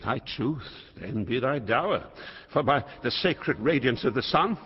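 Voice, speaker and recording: A man's voice: mid-range voice, lively intonation, breathy edge. An actor playing an aging, proud and volatile king and father in a classical Shakespearean stage drama, speaking in a grand, commanding manner. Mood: enraged. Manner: grand, theatrical, deliberate, commanding. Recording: muffled, reverberant hall sound.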